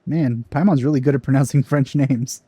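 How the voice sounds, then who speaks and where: A man's voice: mid-range voice, fairly even intonation, brisk, warm tone, breathy edge. A man hosting a let's play, reacting to a game with casual commentary on a close microphone.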